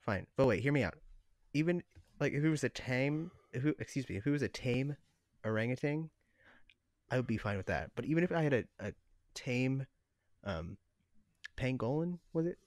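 A man's voice: mid-range voice, expressive, normal speed, pauses often, no echo, clean studio sound. A young man co-hosting a podcast in casual, conversational banter. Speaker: podcast co-host. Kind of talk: casual chat.

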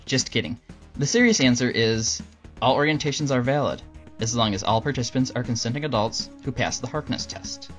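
A man hosting a scripted question-and-answer show, narrating answers in a dry, deadpan manner. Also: mid-range voice, fairly quick. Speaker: host answering viewer questions. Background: music under the voice.

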